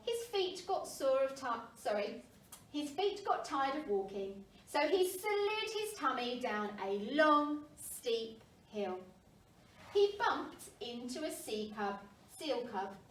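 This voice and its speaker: Slow, high-voiced woman reading a children's story. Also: big pitch swings, a bit bright. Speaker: primary school story reader. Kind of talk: expressive children's storybook narration. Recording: roomy, distant mic, faint noise.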